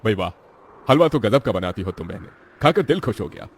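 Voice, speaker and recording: A man voicing a friendly, kindly street-food customer, expressive, in a moral-story cartoon. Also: on the low side, a little fast, a little hiss or hum. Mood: delighted.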